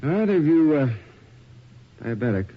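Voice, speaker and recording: A man's voice: a little high, very animated, moderate pace. Matter-of-fact male detective, muffled radio sound. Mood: probing. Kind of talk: radio drama acting. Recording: band-limited 1980s radio drama, music behind.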